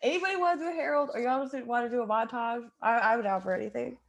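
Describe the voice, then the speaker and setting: A woman's voice: on the high side, fast, creaky. A woman co-hosting a podcast, talking in a slangy, conversational way in a casual group chat. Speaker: podcast co-host.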